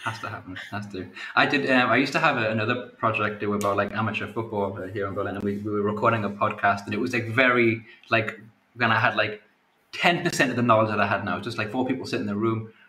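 A man's voice: lowish voice, steady tone, fast, sharp tone. A man from northeast England with a Geordie accent, hosting a casual podcast chat in a chatty, self-deprecating way. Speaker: podcast host. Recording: roomy sound with faint ambience.

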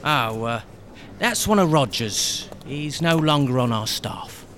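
A young museum guard in a radio drama, polite and hesitant. His voice is bright and lively, over faint ambience. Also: medium pitch, takes it slowly, slight background noise. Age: young adult. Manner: hesitant, deferential.

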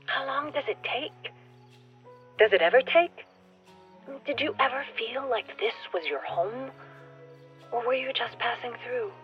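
A woman's voice: fairly high pitch, a little slow, pauses often, lo-fi sound, faint music behind, lightly acted. An actress playing an earnest, reflective newcomer in a radio play monologue, questioning whether she belongs. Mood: searching, frustrated. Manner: questioning, musing.

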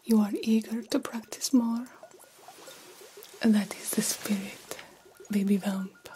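A woman voicing a formal, grandiose vampire doctor in a breathy ASMR whisper, slowly and theatrically mentoring a fledgling. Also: medium pitch, fairly even intonation, dark tone, slight background noise, lightly acted. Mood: coaxing, instructive. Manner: slow, deliberate, formal and theatrical. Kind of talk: ASMR roleplay.

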